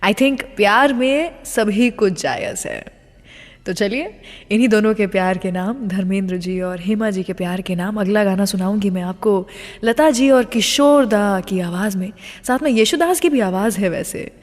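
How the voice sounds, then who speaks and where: A woman's voice: medium pitch, normal speed, long reverb tail. An Indian woman presenting a radio show in a chatty, storytelling way, dropping English phrases into her Hindi.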